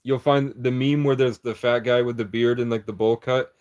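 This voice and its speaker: A man's voice: medium pitch, steady tone, moderate pace, darkish tone, lo-fi sound. A man rambling through a livestream chat in a meandering, conspiratorial monologue, often breaking off and restarting.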